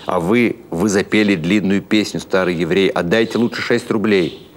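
A man voicing a brash, sharp-tongued woman with a brusque, mocking manner in an audiobook story. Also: deep voice, steady tone, moderate pace, lightly acted. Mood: indignant. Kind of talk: audiobook character acting.

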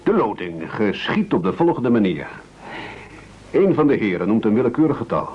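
An actor playing a formal, commanding castaway gentleman in an absurdist radio play, measured and over-polite. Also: fairly low pitch, sing-song, breathy edge. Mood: formal, businesslike. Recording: dull lo-fi old radio recording.

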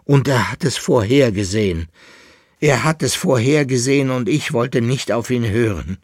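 A man's voice: on the low side, animated, measured pace, husky, dry recording, studio quality. A man voicing a distraught fugitive in an audiobook story, wailing and agitated, full of exclamations and pleading questions. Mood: despairing.

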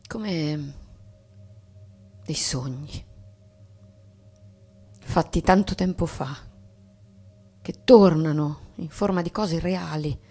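An actress playing a submissive, nostalgic young bourgeois wife in a literary radio drama, speaking deliberately. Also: female voice, deep voice, a little mellow, full character voice. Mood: nostalgic, dreamy. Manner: slow, deliberate, at times whispered. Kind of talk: dramatic stage reading for radio, literary monologue.

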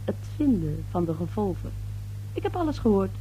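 An actress playing a pushy, sly young newspaper reporter who probes and insinuates in a radio play. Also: female voice, medium pitch, very animated, a little fast, mellow, a little hiss or hum, natural voice, rough recording. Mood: chatty, brazen. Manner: insinuating, probing, brazen.

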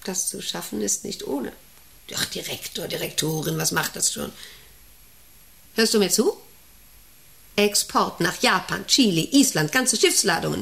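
An actress playing a middle-aged, self-important and intrusive company director in a radio play, rambling and domineering with a creaky voice. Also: female voice, medium pitch, a bit bright, a little hiss or hum. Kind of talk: radio play monologue and dialogue.

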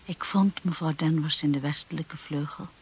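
An actress playing a timid, earnest young wife who tells her own story in a radio drama, with a husky, breathy voice. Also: deep voice, fairly even intonation, normal speed, exaggerated voice, rough recording. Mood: wistful narration. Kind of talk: radio drama acting and first-person narration.

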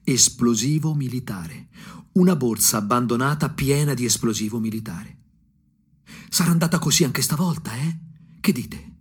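An actor playing a disillusioned provincial ex-punk looking back with bitter irony, in a husky, brooding radio drama monologue with dramatic pauses. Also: male voice, mid-range voice. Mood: sardonic, brooding. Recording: music playing under the voice. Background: guitar music.

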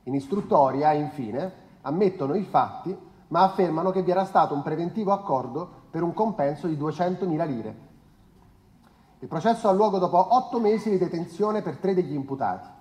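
A formal documentary narrator played by a man reads an official report in measured speech. The voice is fairly high and rings in an echoey room. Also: animated, darkish tone, natural voice. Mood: formal, grave. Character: formal male narrator reading a police report.

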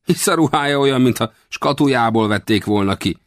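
A man's voice: lowish voice, wildly swooping pitch, fairly bright tone, dry recording, studio quality, voice pushed up, exaggerated voice. A man voicing a cranky, proud little old Wild West hunter in a storybook, with blustering, exclamatory, folksy talk. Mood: bitter, scornful.

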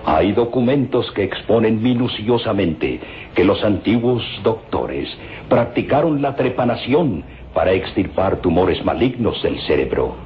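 A deep-voiced, passionate old professor. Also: male voice, sing-song, measured pace, a little hiss or hum. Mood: awed, rapturous. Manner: grandiloquent, emphatic, theatrical. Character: elderly male archaeology professor, passionate, awed, obsessive about Egypt. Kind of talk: radio drama acting. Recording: dull vintage radio recording with music.

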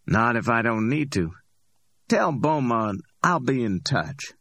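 A man's voice: medium pitch, animated, a bit bright, no room sound, voice pushed up, exaggerated voice. A man voicing a cocky middle-aged hustler who teases and drawls his words. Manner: teasing, drawn-out, challenging.

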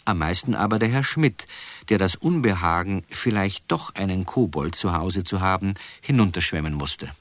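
A man narrating a scripted children's radio play as a calm storyteller. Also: sits low, normal speed, dry recording.

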